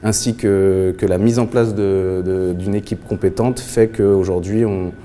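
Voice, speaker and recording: A man's voice: low-pitched, fairly even intonation, measured pace, dark tone. A man in his thirties from the Paris suburbs, a shop founder answering interview questions earnestly, with frequent restarts and fillers. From Paris suburbs, France. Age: 30s. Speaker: clothing brand founder.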